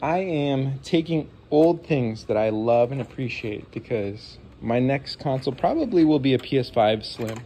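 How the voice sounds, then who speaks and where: A man's voice: mid-range voice, animated, normal speed, few pauses, mellow, a little hiss or hum. Sniffly man rambling about modding. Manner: rambling, enthusiastic, apologetic asides. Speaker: vlogger modding a game console. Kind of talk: casual hands-on tech talk. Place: home desk, indoors.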